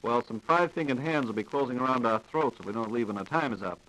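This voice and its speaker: A man's voice: medium pitch, quick pace, fairly bright tone, rough recording. An American man hosting a 1940s radio programme with formal, courteous, polished scripted announcing. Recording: vintage 1940s radio broadcast recording.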